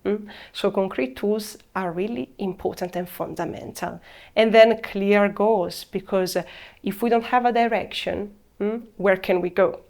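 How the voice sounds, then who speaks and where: A woman in her thirties from Italy with an Italian accent, giving a measured presentation that slows down to stress key words. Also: mid-range voice, darkish tone. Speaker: program presenter. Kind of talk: scripted presentation.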